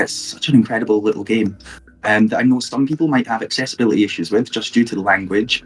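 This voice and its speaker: A man's voice: fairly low pitch, husky, rough recording. A man co-hosting a livestream, explaining community games in a friendly, casual way with some fillers. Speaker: livestream co-host. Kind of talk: casual chat, commentary over gameplay.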